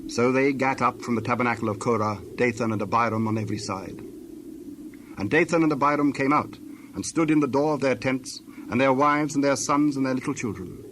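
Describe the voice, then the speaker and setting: A man narrating scripture from a script in a measured, solemn storytelling manner. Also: medium pitch, fairly bright tone, slight background noise.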